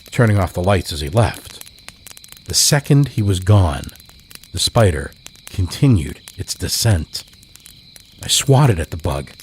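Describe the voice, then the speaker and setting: A man narrating a horror story in a measured, deliberate way as a podcast host. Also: sits low, a little hiss or hum, low-quality recording.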